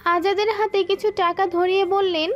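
A woman's voice: extremely high pitch, level tone, normal speed, muffled recording, faint music behind. A woman reading a scripted romantic story as its narrator.

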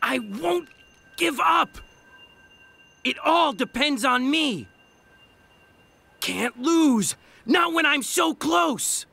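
A man's voice: extremely high pitch, lively intonation, extremely slow, creaky, long pauses, sharp tone, full character voice. A man voicing an earnest, hot-headed young hedgehog in a video game cutscene, youthful, intense and dramatic. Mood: desperate, defiant.

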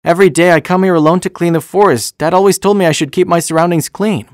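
Man voicing a timid little schoolboy, pitched up. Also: fairly even intonation, quick pace, no echo, studio quality, lightly acted. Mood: earnest, wistful. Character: shy, hurt six-year-old schoolboy. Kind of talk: storybook character voice.

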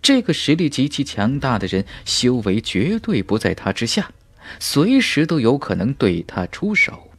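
A man narrating a fantasy audiobook in a theatrical, suspenseful manner, with dramatic pauses. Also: medium pitch, wildly swooping pitch, slightly rough, dry recording.

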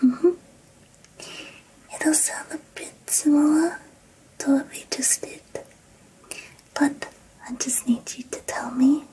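A woman speaks in a breathy, high-pitched whisper, extremely slowly and with long pauses. She plays a hearing tester in an ASMR style, guiding the listener softly and reassuringly. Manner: gentle, reassuring, softly instructing. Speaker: ASMR roleplayer giving a hearing test. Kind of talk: ASMR roleplay.

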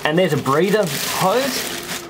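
A man's voice: sits high, very animated, slow pace, noisy background. Laid-back Australian man explaining things. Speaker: sailing vlogger. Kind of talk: casual explanatory vlog talk. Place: aboard a small sailboat, in the cabin and on deck.

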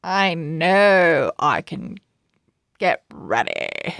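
Woman voicing a haughty, slow-talking storybook pet rival. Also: deep voice, big pitch swings, gravelly, a bit bright, no echo, clean studio sound, voice pushed down, full character voice. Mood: taunting. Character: haughty, taunting female pet, boastful rival.

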